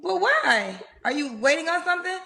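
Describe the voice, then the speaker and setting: A woman's voice: very high voice, sing-song, moderate pace, gravelly, bright, forceful tone. A woman hosting a call-in show, questioning a caller in a direct, probing, informal way. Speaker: call-in dating show host. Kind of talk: interviewing a caller.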